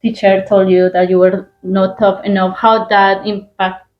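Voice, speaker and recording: Slow hesitant woman in echoey room. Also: medium pitch, level tone. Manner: hesitant, halting with many ums. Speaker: podcast co-host. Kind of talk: casual interview chat. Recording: echoey muffled room with faint noise.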